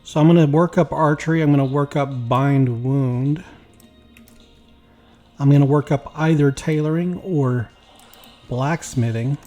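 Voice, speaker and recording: A man with a slightly raspy, weak voice, tired after work, chatting casually while playing a game. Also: a little high, a little slow, pauses often, faint music behind. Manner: rambling, relaxed, talks while playing. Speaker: gaming YouTuber. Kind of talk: casual gameplay commentary.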